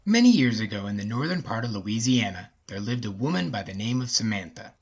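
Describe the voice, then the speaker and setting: A man's voice: fairly low pitch, big pitch swings, brisk, husky, muffled recording. A man hosting a story podcast, talking and narrating in a casual, folksy way.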